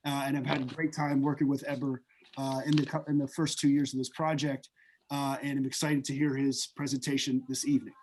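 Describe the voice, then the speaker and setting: A man hosting an online class, introducing a guest speaker in a relaxed, conversational way with frequent fillers. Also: medium pitch, fairly quick, a little hiss or hum, low-quality recording. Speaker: class host and moderator. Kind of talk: casual lecture introduction. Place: online video call. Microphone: video call.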